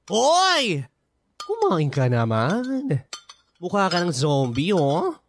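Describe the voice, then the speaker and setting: A woman playing an outspoken, protective best friend in a radio drama, expressive, nagging and comforting with exasperated interjections. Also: fairly low pitch, takes it slowly, slight background noise, exaggerated voice. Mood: concerned nagging. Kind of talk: Filipino radio drama dialogue.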